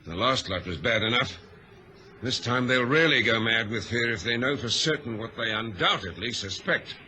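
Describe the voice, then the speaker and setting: An actor playing a steady, commanding ship's captain in an old radio drama, speaking crisply and with authority. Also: male voice, mid-range voice, moderate pace. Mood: grim. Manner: crisp, authoritative, clipped orders. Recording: hissy vintage radio recording.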